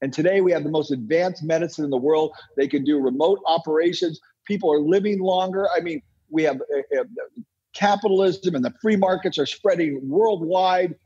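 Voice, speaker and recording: A man's voice: a little high, normal speed, dark tone, breathy edge, muffled recording. An American man giving opinionated interview answers as a guest, insistent and emphatic, repeating phrases for effect. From United States. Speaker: podcast guest, entrepreneur and author.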